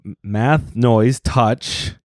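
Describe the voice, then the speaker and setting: A man hosting a podcast in casual chat, teasing his guest with dry, deadpan jokes. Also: medium pitch, drawn-out words, dry recording. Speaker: podcast host.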